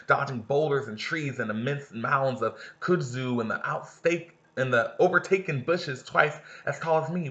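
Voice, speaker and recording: Casual, bright-voiced man teaching. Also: medium pitch. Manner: casual, talks straight to students. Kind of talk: read-aloud lesson with discussion. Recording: roomy, slightly dull recording.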